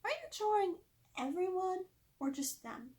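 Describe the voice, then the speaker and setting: A woman's voice: extremely high pitch, very animated, unhurried, mellow, pitched above the performer's own voice, heavily acted. A woman voicing curious, eager young girls in a storybook read-aloud.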